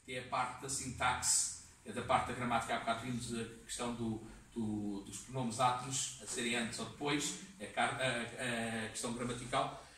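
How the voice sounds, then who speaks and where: A man in his fifties with a European Portuguese accent, giving a halting classroom lecture full of fillers, recorded from across the room. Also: mid-range voice, flat delivery, sharp tone, a little hiss or hum. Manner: halting, many fillers and restarts. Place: community classroom with seated audience. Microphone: far camera in the room. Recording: echoey room, voice from a distance.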